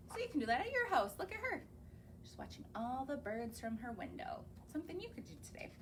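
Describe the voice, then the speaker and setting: Young female librarian, story time; high, lively sing-song delivery, slow and coaxing. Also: slight background noise. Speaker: children's librarian. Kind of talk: reading picture books aloud to young children. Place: library or home, recorded on camera.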